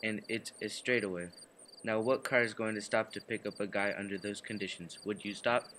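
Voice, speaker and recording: A man as a bright, folksy gas station attendant. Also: on the low side, fairly even intonation, brisk, a little hiss or hum. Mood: incredulous, blunt. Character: chatty, matter-of-fact male gas station attendant. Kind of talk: radio play acting. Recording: faint night cricket ambience behind.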